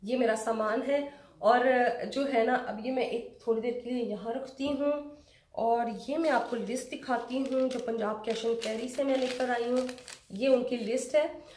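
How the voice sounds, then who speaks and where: A middle-aged woman with a fairly high voice talks a shopping haul through, step by step. She keeps an easy, chatty tone. The room around her sounds echoey. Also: steady tone, normal speed, darkish tone. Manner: chatty, explaining prices step by step. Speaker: vlogger. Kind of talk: casual shopping vlog chat. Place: home room with shelves, indoors.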